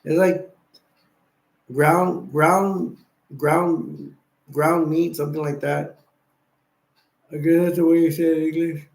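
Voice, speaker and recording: A man's voice: on the high side, steady tone, slow pace, creaky, long pauses. Sleepy, rambling man in an echoey room. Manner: rambling, sleepy, chatty with viewer shout-outs. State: tired and sleepy. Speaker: live stream host. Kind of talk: casual late-night live stream chat.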